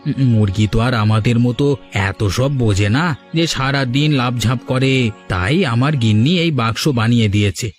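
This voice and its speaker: Hapless cartoon rogue played by a man, medium pitch, wheedling and exasperated. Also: moderate pace, soft background music, lightly acted. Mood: smooth, deceitful. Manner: wheedling, grumbling, repeats words. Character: sly, hapless married village chicken thief, wheedling and exasperated. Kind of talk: animated folk-tale cartoon acting.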